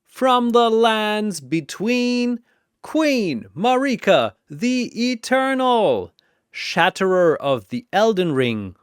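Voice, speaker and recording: A man performs a flamboyant master of a fantasy arena in an audio story. The voice rises high and swoops widely, proclaiming each line with grandiose, drawn-out showmanship. It is clean and resonant, like a theatrical fight announcer. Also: big pitch swings, clear, resonant tone, no echo, clean studio sound, exaggerated voice. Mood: booming, proclaiming.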